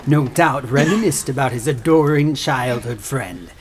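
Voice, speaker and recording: An actor playing a sarcastic, pompous prosecuting attorney in a courtroom audio drama, with oratorical, scornful delivery. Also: male voice, mid-range voice, lively intonation, unhurried, lightly acted. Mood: mocking. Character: sneering male prosecuting attorney, theatrical and scornful. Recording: clearly noisy track with clicks.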